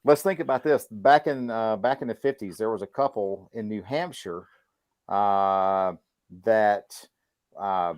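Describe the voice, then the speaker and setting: A man's voice: fairly low pitch, a little slow, darkish tone, no room sound. A middle-aged American man co-hosting a casual livestream talk, laid-back and colloquial, repeating words for emphasis. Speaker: podcast co-host.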